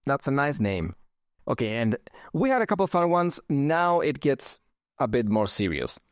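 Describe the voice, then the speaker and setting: A man's voice: medium pitch, big pitch swings, moderate pace, no room sound, clean studio sound. A man with a German and Spanish accent, born in Germany and raised in Chile, hosting his channel with wry, conversational commentary. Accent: mix of German and Spanish. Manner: wry, conversational, occasional chuckles. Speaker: channel host. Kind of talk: casual commentary reading comments.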